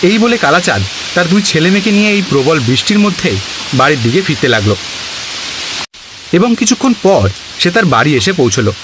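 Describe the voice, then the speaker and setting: A man's voice: fairly high pitch, sing-song, quick pace, noisy background. A man narrating a scripted cartoon story as a storyteller.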